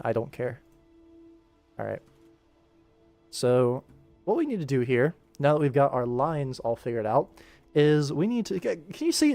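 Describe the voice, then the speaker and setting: A man's voice: mid-range voice, a little mellow, dry recording. Young man chatting casually over music. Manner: rambling, playful, thinks aloud. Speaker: livestream host and animator. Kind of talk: casual livestream chat while working.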